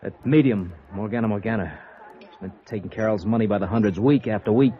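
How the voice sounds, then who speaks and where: An American actor playing an intense, worried gangster's son in a mid-century radio drama, emphatic and earnest. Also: male voice, on the low side, close to an everyday voice. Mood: heated, defensive. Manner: emphatic, earnest, quick to flare up. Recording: vintage radio, dull sound, faint noise.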